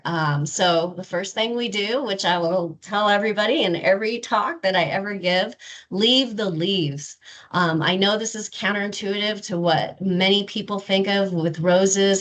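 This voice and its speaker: A woman giving a webinar talk on gardening, relaxed and informative, with frequent filler words. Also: mid-range voice. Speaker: rose garden presenter. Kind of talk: webinar presentation.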